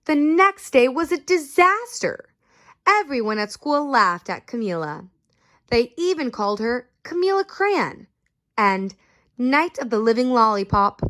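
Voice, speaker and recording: A woman reading a picture book aloud to children, expressive and asking her listeners questions. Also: a little high, unhurried. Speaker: story reader.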